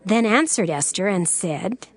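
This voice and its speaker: A woman narrating scripture from a script in a measured, formal manner. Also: medium pitch, expressive. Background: faint music.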